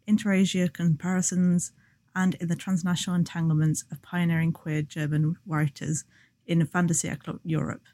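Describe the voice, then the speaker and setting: A woman co-hosting a podcast, reading prepared introductions in a measured, articulate, bookish way. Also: on the low side, warm tone. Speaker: podcast co-host, academic. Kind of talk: scripted podcast narration and introductions.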